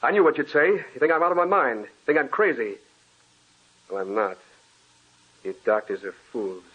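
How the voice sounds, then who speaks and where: Husky, haunted, obsessed man. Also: medium pitch, lively intonation. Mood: grim obsession. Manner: intense, halting, repeats words feverishly. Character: haunted, obsessed, sleepless man losing his mind. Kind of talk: 1940s radio horror acting. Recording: muffled old radio recording.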